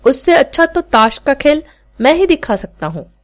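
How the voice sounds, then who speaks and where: A woman's voice: high voice, very animated, moderate pace, pitched above the performer's own voice. A woman narrator voicing a proud, self-reliant teenage boy street magician who speaks fluently and with confidence in a story. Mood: proud, confident. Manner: fluent, unhesitating, proud speech.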